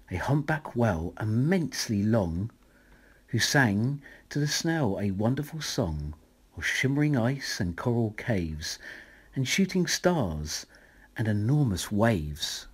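A man reads a rhyming bedtime story aloud with dramatic, highly varied intonation. His pace is slow and measured, and his voice has a soft, husky breathiness. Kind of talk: expressive read-aloud of rhyming children's picture book.

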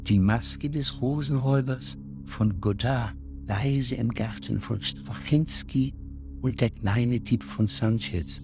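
A man playing a cryptic master art thief, delivering a farewell letter as a formal, measured dramatic voice-over. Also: medium pitch. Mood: solemn, cryptic. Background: music.

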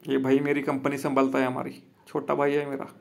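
Installer showing off his work: a man, even-toned, rough and gravelly, outdoors with a phone mic. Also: medium pitch, fairly quick. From Maharashtra, India. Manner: plain, repetitive, addresses viewers as friends. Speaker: solar installer and business owner. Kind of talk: walkthrough video commentary. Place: outdoor rooftop.

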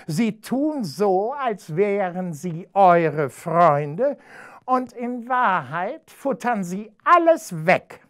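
A storyteller voicing a spiteful, scheming female cat in a children's storybook, with a sneering, drawn-out delivery. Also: mid-range voice, big pitch swings, fairly bright tone, voice pushed up, exaggerated voice. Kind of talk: storybook character voice.

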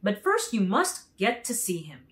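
A woman voicing an emphatic, awed countryman who knows of a great wizard, in a deliberate storybook read-aloud. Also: medium pitch, huge pitch swings, a bit bright. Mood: confident, explaining.

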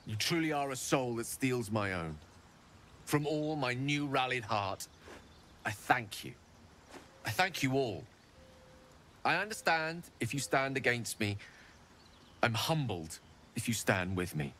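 An actor playing an eloquent, self-deprecating wizard in a video game, speaking in a theatrical, articulate way. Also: male voice, medium pitch, expressive, a little slow, long pauses, slight background noise. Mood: grateful. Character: wizard man, eloquent, wry, melancholy. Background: soft game music.

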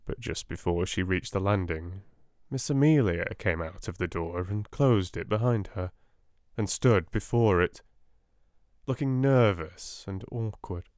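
A man with a British accent narrating an audiobook in a soft, gentle, measured way. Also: low-pitched, no echo, clean studio sound. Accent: British English. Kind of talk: soft-spoken audiobook reading.

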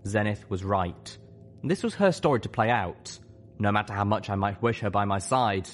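A man's voice: lowish voice, lively intonation, moderate pace, faint music behind. A man reading a scripted story aloud as an audiobook narrator. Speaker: audiobook reader.

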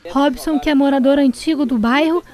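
A woman reporting on the news, narrating the story in a clear, measured voice-over. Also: high-pitched, darkish tone.

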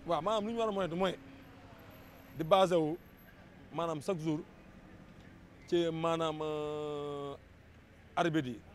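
A man's voice: fairly high pitch, steady tone, a little slow, pauses often. A Senegalese man giving an impassioned, emphatic opinion in a street interview, often repeating his words. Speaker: street interviewee, football fan. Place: busy city street, outdoors. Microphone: handheld interview microphone. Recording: outdoor street noise. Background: traffic and street ambience.

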